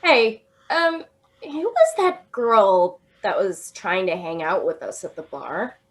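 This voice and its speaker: A woman's voice: mid-range voice, expressive. A young actress playing a tipsy, giggly woman waiting for a late-night train in a radio drama. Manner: giggly, drawn-out tipsy delivery.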